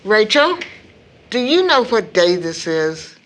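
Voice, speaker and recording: A woman playing a firm, loving mother of grown children in an audio drama, with measured, formal speech. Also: medium pitch, big pitch swings. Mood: kindly.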